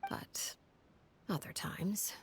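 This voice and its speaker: A woman playing a cold, stern female house leader in a video game, speaking in a composed, deliberate and formal way with a low, breathy voice. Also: in a whisper. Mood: measured, cold.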